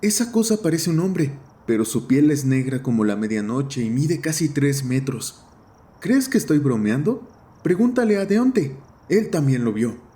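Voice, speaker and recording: A man's voice: medium pitch, very animated, a little slow, dark tone, voice pushed up. A man voicing a haunted, defiant thirteen-year-old boy in a horror audio story, insistent and emphatic. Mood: agitated, insistent. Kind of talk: dramatized horror audio fiction.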